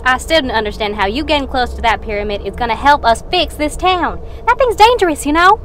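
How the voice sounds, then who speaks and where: A woman's voice: high voice, expressive, brisk, background noise. A woman playing a blunt, skeptical Wild West rider in an audio drama. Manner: blunt, impatient. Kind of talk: western audio drama acting.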